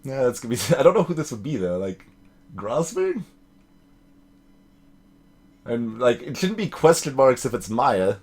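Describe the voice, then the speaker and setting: A man's voice: medium pitch, very animated, unhurried, long pauses, a little mellow. A man giving casual commentary as he plays a video game, thinking aloud. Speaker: let's play host. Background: faint game music.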